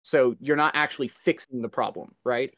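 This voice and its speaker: A man in a casual podcast discussion, talking in a halting way as he works out his thoughts. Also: mid-range voice, fairly bright tone, no echo, clean studio sound.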